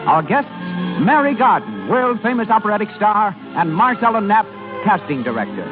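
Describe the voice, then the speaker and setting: High, animated vintage radio announcer, male. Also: moderate pace, narrow, tinny audio, music in the background. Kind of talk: 1930s scripted radio announcing and ad reading. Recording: band-limited 1930s radio broadcast.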